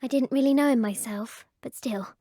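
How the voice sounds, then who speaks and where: A woman's voice: high voice, brisk, dark tone, no room sound, studio quality. An actress playing a frank, earnest schoolgirl in a wizarding video game. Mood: regretful. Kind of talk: video game character acting.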